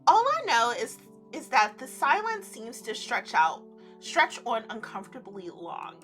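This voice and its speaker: A woman reading a visual novel aloud as a gaming creator, expressive and giggly, with gushing casual asides. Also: a little high, measured pace, soft background music. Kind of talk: playful let's play read-aloud with casual reactions.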